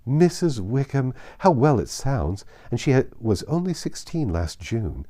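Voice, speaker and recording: A man voicing an excitable, silly middle-aged mother in a storybook reading, gushing and fluttery with delight. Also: mid-range voice, measured pace, pitched above the performer's own voice. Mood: giddy, self-satisfied. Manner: fluttery, exclamatory, breathless rush of delight.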